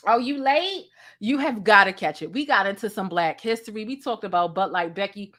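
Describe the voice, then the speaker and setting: A woman with a deep voice hosts a live online commentary show. She talks straight to her viewers, leans on repeated lines for emphasis and keeps asking the chat questions. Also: animated, fairly quick, a bit bright. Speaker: YouTube commentary host. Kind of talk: livestream commentary.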